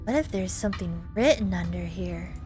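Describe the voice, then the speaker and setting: A woman reading a visual novel aloud as a gaming host, in an expressive, theatrical way. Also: on the low side, moderate pace. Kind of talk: playthrough reading, narrating and voicing visual novel dialogue with reactions. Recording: music behind the voice. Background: game music.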